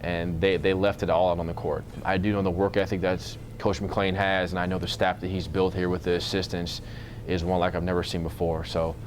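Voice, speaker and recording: A man in his thirties, a basketball coach, speaking in a measured, earnest way in an informal interview. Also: low voice, level tone, a little hiss or hum. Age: 30s.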